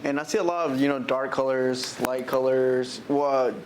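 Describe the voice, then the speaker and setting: A man hosting an interview who asks casual, drawn-out questions and affirms the guest's answers. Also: mid-range voice, steady tone, slight background noise. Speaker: interviewer, show host.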